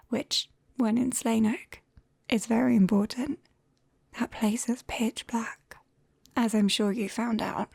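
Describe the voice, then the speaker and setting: A British woman playing a clumsy, caring young witch in an ASMR roleplay, whispering softly and hesitantly, close to the mic. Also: fairly high pitch, dry recording, full character voice. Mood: gentle, reassuring. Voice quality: soft, breathy whisper. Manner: hesitant, coaxing, gently apologetic. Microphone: close binaural microphone.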